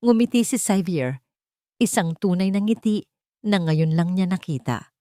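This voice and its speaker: Low-voiced Filipino woman narrating romance, dry recording. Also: animated, measured pace, dark tone, no echo. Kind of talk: scripted dramatic audio story narration.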